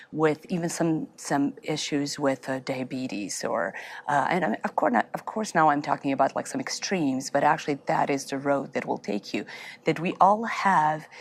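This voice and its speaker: A woman from Serbia, a therapist answering interview questions, halting and searching for words. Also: sits low. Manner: speaks with a foreign accent, halting, searching for words. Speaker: therapist guest.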